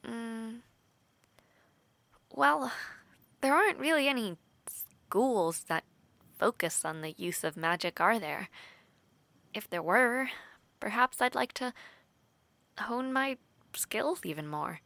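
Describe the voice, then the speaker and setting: An earnest young knight in training, played by a woman, speaking close and soft, often slipping into a half-whisper between light laughs. Her tone is bright and clear on top, with a gravelly fry under it. The sound is dry and near, with no room around it. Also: a little high, expressive, takes it slowly, pauses often, no room sound, heavily acted. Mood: warm, reflective. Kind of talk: intimate audio roleplay.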